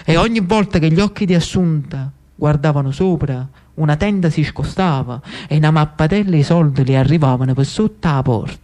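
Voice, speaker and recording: A man's voice: a little high, brisk, hardly any pauses, a little mellow. A man with a Neapolitan accent delivers a theatrical storytelling monologue, dramatic and lyrical, lingering on short repeated phrases. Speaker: actor-storyteller. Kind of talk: theatrical narrative monologue in Italian with dialect.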